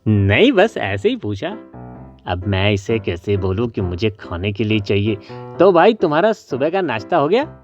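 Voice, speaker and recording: A man's voice: on the low side, sing-song, moderate pace. Guy doing a sneaky lazy cartoon rabbit, wheedling, bit muffled, faint music. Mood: casual, sly. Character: sly, lazy, freeloading rabbit who pleads and schemes. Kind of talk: children's cartoon story acting. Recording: dull lo-fi sound with faint music.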